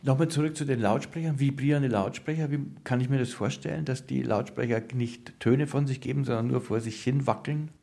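A man interviewing someone, putting prepared questions in a measured, inquiring manner. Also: medium pitch, vocal fry, dark tone.

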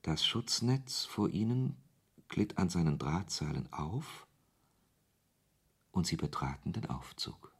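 A man's voice: sits low, sing-song, slow pace, pauses often, full character voice. A man reading scripted literary narration as the narrator of a radio play. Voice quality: husky.

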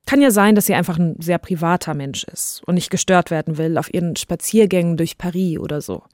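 A woman's voice: on the low side, fairly quick, darkish tone, dry recording, studio quality. A woman hosting a podcast, telling a scripted story in a conversational narrating style. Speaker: podcast host. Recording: music bed under voice. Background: background music.

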